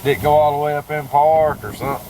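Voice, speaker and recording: A man's voice: on the high side, fast talker, dark tone. A man at a roadside scene, puzzling aloud with a police colleague. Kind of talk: on-scene talk with a fellow officer. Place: outdoors at a roadside creek. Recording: noisy field recording.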